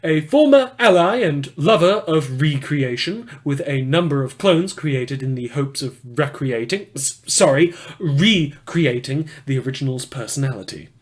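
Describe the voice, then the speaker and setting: Man playing a snide, theatrical, high-pitched news presenter. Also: expressive. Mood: mock-formal. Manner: sarcastic, mock-concerned, drawn-out emphasis on words. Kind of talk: dramatic in-character monologue for a fan fiction podcast.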